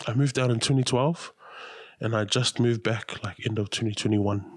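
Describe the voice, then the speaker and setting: A man talks easily on a podcast, loose and slangy, with plenty of fillers. His voice sits at a middling pitch. The sound is dry and close, with no room around it. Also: fairly even intonation, normal speed, dry recording. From New Zealand. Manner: laid-back, slangy, chatty with fillers. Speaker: podcast guest, radio host. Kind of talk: casual chat.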